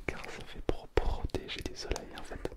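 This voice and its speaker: A man whispering a playful, self-mocking ASMR roleplay as a pretend Mandarin teacher, close to the mic. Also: moderate pace.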